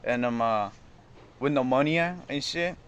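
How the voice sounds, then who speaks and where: A young man telling stories in casual chat, animated and slangy, laughing as he talks. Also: medium pitch, a little slow. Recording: echoey space, faint noise.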